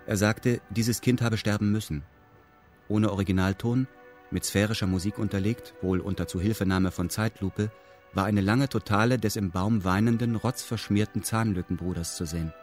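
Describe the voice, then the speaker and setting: An actor playing a detached narrator who recounts events in meticulous, matter-of-fact detail in a radio drama. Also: male voice, on the low side, steady tone, moderate pace, soft background music, natural voice.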